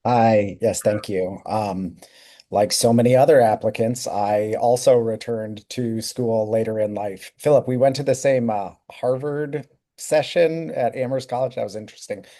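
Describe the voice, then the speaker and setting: A man giving a relaxed, lightly joking self-introduction to a committee on a video call. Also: fairly low pitch, normal speed. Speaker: board applicant.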